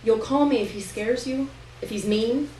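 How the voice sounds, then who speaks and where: A woman's voice: fairly high pitch, normal speed, a little mellow, lightly acted. Woman playing anxious teen big sister in a story reading, earnest, protective, echoey with faint noise. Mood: worried, urgent. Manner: earnest, questioning. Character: worried, protective teenage girl, the older sister. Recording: roomy, distant live recording with noise.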